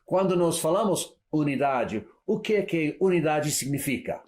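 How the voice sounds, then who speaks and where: A man's voice: a little high, lively intonation, normal speed, a little mellow. Man interpreting a sermon, hesitant. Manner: hesitant, fillers and restarts while translating. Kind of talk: live sermon interpreting into Portuguese.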